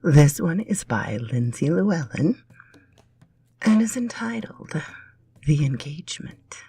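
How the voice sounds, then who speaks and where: Breathy, whispery woman storyteller. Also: low voice, sing-song, takes it slowly, warm tone. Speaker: story narrator. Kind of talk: dramatic storybook reading.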